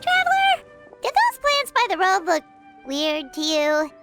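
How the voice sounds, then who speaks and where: A woman's voice: very high voice, huge pitch swings, unhurried, fairly bright tone, faint music behind, heavily acted. A woman voicing a chatty little fairy companion who talks about herself in the third person. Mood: chirpy.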